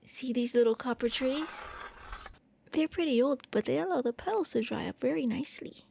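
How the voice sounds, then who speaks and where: A shy, eager vampire prince voiced by a woman speaks in a mellow, fairly high voice. The delivery is gentle and intimate, a soft ASMR roleplay monologue with a hesitant, boyish courtesy. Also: moderate pace, vocal fry, a little hiss or hum. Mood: warm, eager. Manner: gentle, hesitant, intimate, stammering when flustered.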